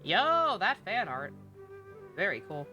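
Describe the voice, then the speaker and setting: A woman's voice: medium pitch, very animated, slow pace, bright, forceful tone, faint music behind. A woman livestreamer giving casual, irreverent, sweary commentary on a game. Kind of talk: casual live stream commentary.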